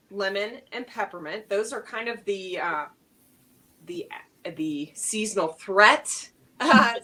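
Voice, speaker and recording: A woman's voice: medium pitch, bright, forceful tone, distant mic. A middle-aged woman co-hosting a casual wellness livestream, bubbly and laughing often as she talks with many fillers. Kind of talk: casual chat.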